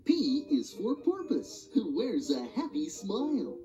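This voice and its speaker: A woman reading a rhyming children's animal alphabet book aloud in a sing-song, expressive way. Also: mid-range voice, takes it slowly. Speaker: storybook reader. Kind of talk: children's read-along narration. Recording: dull lo-fi audio with music behind.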